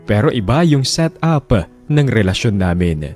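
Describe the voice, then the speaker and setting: A man narrating a radio drama in a measured, reflective way. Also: mid-range voice, sing-song, darkish tone, soft background music.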